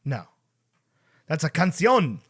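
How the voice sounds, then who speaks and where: A man's voice: fairly high pitch, slow, husky. A man co-hosting a casual movie review podcast, talking in a playful, rambling way as he loses his voice. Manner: animated, playful, rambling with restarts. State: losing his voice. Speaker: podcast co-host. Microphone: podcast mic.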